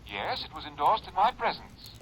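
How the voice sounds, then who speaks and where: An actor playing a bank president on the phone, formal and apologetic. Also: male voice, fairly high pitch, wildly swooping pitch, moderate pace, fairly bright tone, a little hiss or hum, low-quality recording.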